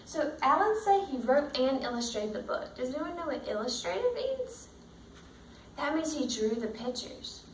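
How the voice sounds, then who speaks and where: Female teacher, storybook read-aloud, higher-pitched voice, roomy sound with faint noise. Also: big pitch swings, moderate pace. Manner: checks understanding with simple questions. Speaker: teacher reading a picture book to children. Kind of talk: read-aloud with questions to young pupils. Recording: roomy, distant mic, faint background noise.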